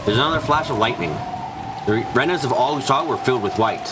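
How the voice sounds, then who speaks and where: A man's voice: mid-range voice, fast talker, heavy background noise. A man narrating a scripted story in a dramatic style for a visual novel fan dub. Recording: music behind the voice. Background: clear background music.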